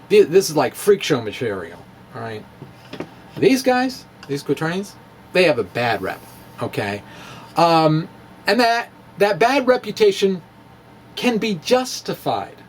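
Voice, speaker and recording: A man's voice: on the high side, slight background noise. A middle-aged man explaining math in a chatty, rambling way, chuckling at his own asides. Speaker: physics blogger explaining math. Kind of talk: casual explanatory talk, demonstrating with props.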